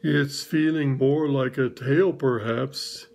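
A man voicing a gloomy old donkey in a storybook reading, speaking in a slow, sorrowful drawl. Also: mid-range voice, steady tone, darkish tone. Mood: mournful.

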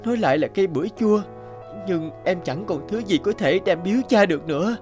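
A man's voice: sits high, lively intonation, fast. A man voicing a humble, honest youngest brother in a fairy-tale cartoon, meek and plaintive. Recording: music behind the voice.

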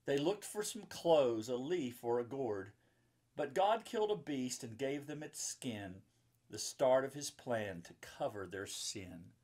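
A man's voice: mid-range voice, a little mellow, husky. Middle-aged man reading to children. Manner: simple explaining to young listeners. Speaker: pastor and children's book author. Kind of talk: children's story reading with chatty asides. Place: indoor book-lined room at home or office.

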